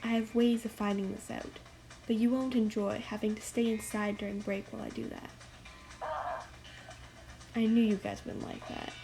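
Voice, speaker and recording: A woman playing a patient but firm, sometimes frustrated schoolteacher in a radio play, speaking in a measured, polite way. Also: medium pitch, little pitch movement, mellow, soft background music, close to an everyday voice, poor audio. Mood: stern. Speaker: actor and narrator in a radio play. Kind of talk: first-person radio drama narration and dialogue.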